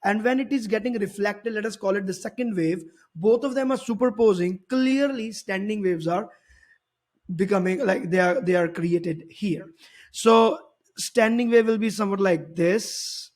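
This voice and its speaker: A man's voice: high-pitched, normal speed. A man teaching physics, explaining a worked problem step by step and often repeating and rephrasing his points.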